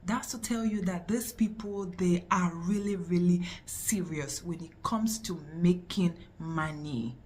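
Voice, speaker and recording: A woman's voice: lowish voice, unhurried, hardly any pauses, darkish tone. A woman co-hosting a casual vlog conversation, agreeing emphatically and explaining points at length.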